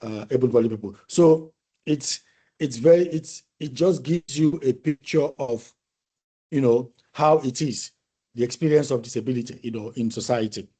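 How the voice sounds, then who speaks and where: Dark-voiced male lecturer, thoughtful, restarting phrases. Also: medium pitch, moderate pace, breathy edge. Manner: thoughtful, repeats and restarts phrases while explaining. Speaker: university lecturer. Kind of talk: conversational online class discussion.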